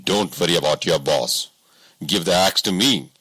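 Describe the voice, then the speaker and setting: A man voicing a calm, commanding king disguised as a farmer in an audiobook story. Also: low voice, moderate pace, slightly rough, dry recording, exaggerated voice, rough recording. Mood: reassuring.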